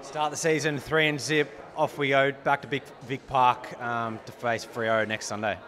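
A man's voice: mid-range voice, level tone, normal speed, fairly bright tone. An Australian man working as a sports interviewer, asking casual post-match questions. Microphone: handheld interview microphone.

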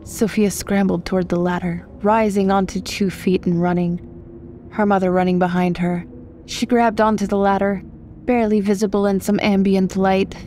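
A woman's voice: mid-range voice, flat delivery, moderate pace, mellow, a little hiss or hum. A woman from the United States reading scripted horror fiction as a story narrator.

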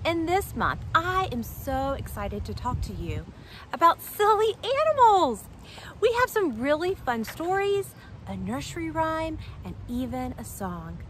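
A woman reads aloud to young children in a high voice. Her pitch swings widely in a sing-song, exaggerated way. She goes slowly and enunciates each phrase for preschool listeners. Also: very animated, noisy background. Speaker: storytime host for young children.

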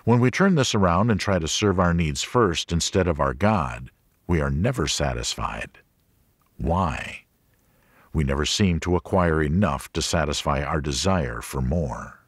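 A man reading a religious audiobook as a scripted narration, in a measured, clearly enunciated manner. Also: extremely low pitch, animated, no room sound, clean studio sound.

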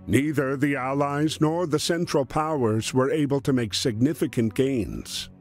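Game narrator, male actor as grave wartime storyteller, unhurried and measured, music underneath. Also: mid-range voice, a little mellow, lightly acted. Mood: solemn, measured. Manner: measured, solemn. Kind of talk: scripted storytelling narration of a war history game. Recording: music behind the voice.

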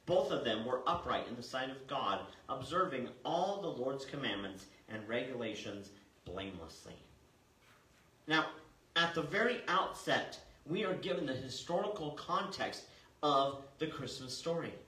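An older man preaching a sermon as a pastor, reading scripture and explaining it in a measured, emphatic way. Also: mid-range voice, animated, bright, forceful tone. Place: small church sanctuary, indoors. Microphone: far camera. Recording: roomy, distant mic, faint noise.

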